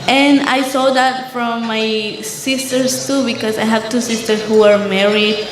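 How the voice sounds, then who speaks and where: Slow, earnest young woman from Guatemala. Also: mid-range voice. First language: K'iche'. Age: young adult. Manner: earnest, careful, slightly halting. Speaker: student panelist. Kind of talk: personal testimony on a panel. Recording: echoey hall with faint room noise.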